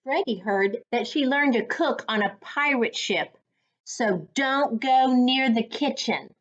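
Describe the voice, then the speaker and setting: A woman reading a children's story aloud as a reading coach, with a deliberate, instructive, teacher-like delivery. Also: fairly high pitch, animated. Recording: dull lo-fi sound.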